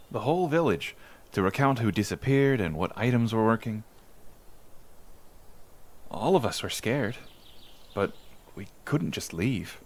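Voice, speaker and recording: A man playing an island villager in an ASMR roleplay, recalling his childhood in a folksy, musing, conversational way. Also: mid-range voice. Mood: reminiscing. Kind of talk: ASMR roleplay storytelling monologue.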